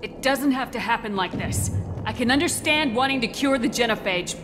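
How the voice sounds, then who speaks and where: An actress playing a tough, level-headed military commander in a video game, speaking in a firm, direct, probing way. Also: female voice, a little high, lively intonation, normal speed, over music, close to an everyday voice. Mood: persuasive. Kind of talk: dramatic video game acting.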